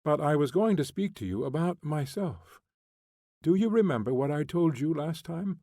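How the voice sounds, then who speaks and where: A male reader voicing a remorseful gentleman prince who pleads earnestly for forgiveness. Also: fairly high pitch, lively intonation, moderate pace, dry recording, studio quality, voice pushed up. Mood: pleading.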